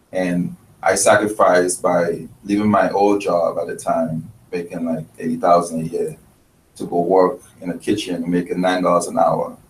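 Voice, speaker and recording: A man from Grenada and Trinidad, a chef and restaurant owner, talking earnestly in an interview with many restarts. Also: sits low. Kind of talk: casual interview talk. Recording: roomy, distant mic, faint noise.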